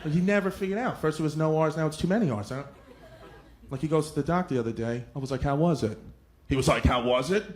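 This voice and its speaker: A man's voice: fairly high pitch, rapid-fire. A man doing a stand-up set, telling family stories in an exasperated way. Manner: exasperated storytelling about family. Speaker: stand-up comedian. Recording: noisy live recording.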